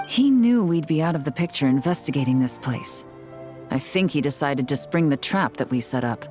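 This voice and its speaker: A woman's voice: low-pitched, expressive, moderate pace, dark tone, faint music behind. A woman playing a shrewd, composed investigator in a video game, thinking things through aloud. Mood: pondering.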